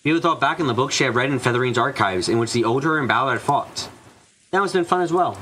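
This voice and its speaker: A man's voice: mid-range voice, lively intonation, fast talker, bright, forceful tone, slight background noise, low-quality recording. A man narrating a mystery visual novel from a script as a fan dubber.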